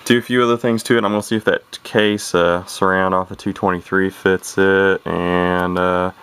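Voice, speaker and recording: A man casually talking through a radio repair at his workbench, rambling and halting, with many restarts and folksy turns of phrase. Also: low-pitched, level tone, a bit bright, clean tone. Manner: plain-spoken folksy grammar. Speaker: radio hobbyist showing a repair. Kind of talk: casual off-the-cuff repair commentary.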